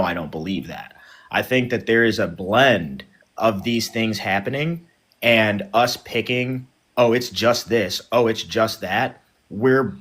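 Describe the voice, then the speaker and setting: A man's voice: fairly low pitch, a bit bright. A man who is a guest on a livestream debate, thinking aloud in a rambling, hesitant way full of fillers. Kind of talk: casual conversational debate.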